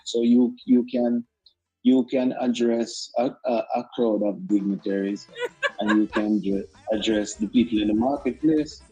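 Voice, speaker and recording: A man chatting as a guest on a casual interview, relaxed, often repeating words and laughing along. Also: on the low side, measured pace, husky, highs cut off, faint music behind. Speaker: guest artist.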